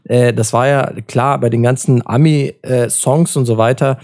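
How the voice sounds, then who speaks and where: A man's voice: mid-range voice, steady tone, moderate pace, a little mellow. A young man in a casual podcast chat, talking in a slangy, informal way.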